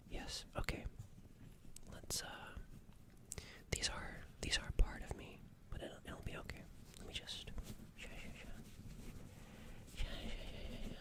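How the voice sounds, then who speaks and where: A performer playing a gentle, nervous alien in a whispered ASMR roleplay, speaking in a breathy, hesitant, stammering and soothing way. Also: natural voice. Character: gentle, nervous alien caring for an injured human.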